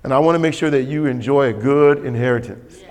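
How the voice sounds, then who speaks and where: A man's voice: mid-range voice, fairly quick, darkish tone. A man preaching a sermon as pastor, emphatic and repetitive, calling for responses from the congregation. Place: church sanctuary. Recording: long hall reverb.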